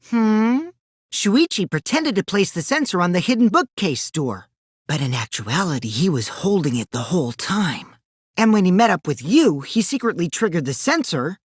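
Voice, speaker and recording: A man's voice: sits high, sing-song, moderate pace, dry recording, studio quality. An actor voicing a mischievous, taunting teenage boy who lies for fun in a video game, theatrical and teasing, swinging from sly to gleeful. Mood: sly, accusing. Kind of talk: animated video game voice acting.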